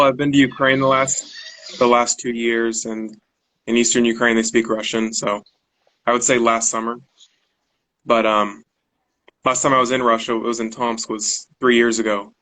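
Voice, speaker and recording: Male guest from the US, easygoing, rambling, average pitch. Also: a little slow, pauses often, poor audio. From United States. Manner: relaxed, rambling, filler-heavy. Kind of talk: casual online conversation. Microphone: video call.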